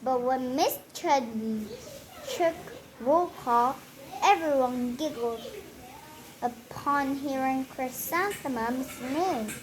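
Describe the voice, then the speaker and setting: A woman reading a children's story aloud in a deliberate way, pausing between short phrases. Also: high-pitched, crowd noise, low-quality recording.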